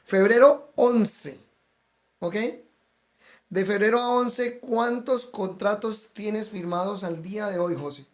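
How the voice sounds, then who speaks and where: A man's voice: high voice, takes it slowly. A male podcast host interviewing a guest with emphatic, coaching energy, often repeating the guest's name.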